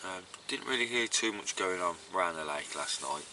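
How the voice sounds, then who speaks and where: A man's voice: low-pitched, normal speed, background noise. A man vlogging outdoors at a lakeside, talking casually to camera with lots of fillers and sounding tired and congested. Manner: relaxed, chatty, with many fillers. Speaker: fishing vlogger.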